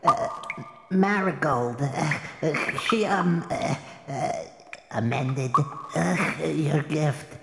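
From a reverberant cave, a timid bat henchman fawns in a low voice. The delivery is slow and halting, full of nervous stammers, in the manner of a fairytale audio play. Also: gravelly, exaggerated voice. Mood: anxious. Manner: nervous stammering, obsequious and hesitant. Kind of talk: fairytale audio drama acting. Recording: echoey cave-like reverb, faint noise.

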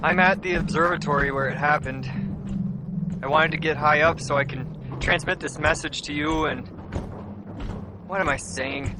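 A man's voice: on the high side, steady tone, over loud music, natural voice. Actor as an anxious young male colony technician. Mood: shaken, grieving. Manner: halting, earnest, self-doubting. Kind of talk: sci-fi audio drama acting.

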